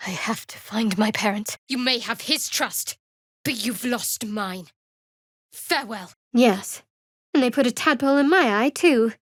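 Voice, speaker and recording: An actress voicing a guarded, wry and devout young female cleric in a fantasy video game, with a cool, measured, dryly sardonic delivery. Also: on the high side, dry recording, studio quality. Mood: frank, guarded.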